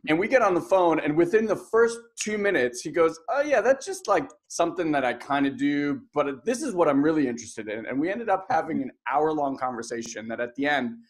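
A young man hosting an online talk show, excited and casual, saying "like" often, on a webcam. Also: fairly high pitch. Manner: excited, says "like" a lot, talks fast when keen. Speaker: host. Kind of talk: enthusiastic webinar hosting.

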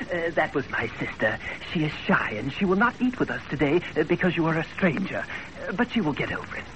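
An actor playing an eager, polite young Japanese host, hesitant and courteous, in 1930s radio drama style. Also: male voice, on the high side, very animated, a little fast, noisy background. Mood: bashful, polite. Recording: old 1930s radio recording, music behind.

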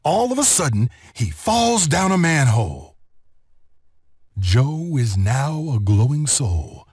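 A man narrating a children's read-along story in an expressive, deliberate storytelling manner. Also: mid-range voice, no room sound, studio quality. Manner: expressive, deliberate storytelling delivery with clear phrasing.

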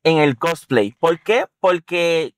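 A man's voice: a little high, lively intonation, slow, no echo. A man hosting a casual, teasing interview inside a car. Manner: playful, teasing, jokey. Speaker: host.

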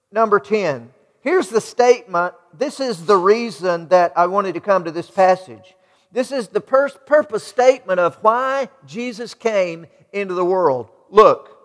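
A middle-aged American man preaches in a high-pitched voice that rings through a big church hall with long reverb. He delivers his sermon emphatically, turning to his listeners with rhetorical questions. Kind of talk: sermon to a congregation. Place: large church sanctuary, indoors.

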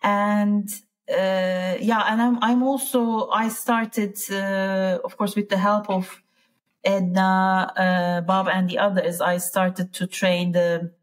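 A woman's voice: mid-range voice, little pitch movement, slow pace. A woman from Turkey with a Turkish accent, talking casually as an interview guest and often searching for words. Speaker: interview guest, piano teacher.

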